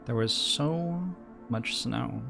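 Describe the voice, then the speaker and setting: A man narrates a story game, reading the on-screen text aloud phrase by phrase. His voice sits in an ordinary male register with a noticeable fry and gravel to it. Faint music plays behind him. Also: medium pitch, takes it slowly.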